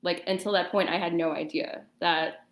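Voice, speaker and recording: A woman's voice: on the low side, fairly even intonation, moderate pace. A woman guest on a talk show, casually telling a personal story in informal, hesitant phrasing. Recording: echoey room sound.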